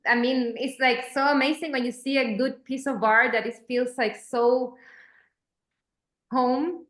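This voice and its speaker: A woman filmmaker in a casual panel discussion, often restarting her phrases while she searches for words. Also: fairly high pitch. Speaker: documentary filmmaker and moderator. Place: panel event room. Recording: echoey room.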